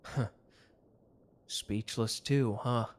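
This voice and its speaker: A devoted mafia lover in a romantic audio drama, played by a man. His voice sits at a middle pitch. He is earnest and intimate, protective of his sweetheart, with a gruff, hot-tempered streak. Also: fairly even intonation, drawn-out words. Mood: tender, reflective.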